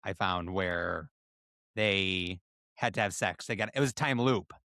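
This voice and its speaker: A man's voice: sits low, measured pace, bright, forceful tone, no room sound. Joking man on a dry-sounding podcast. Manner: joking, tongue-in-cheek. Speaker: podcast co-host. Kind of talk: casual comedic chat.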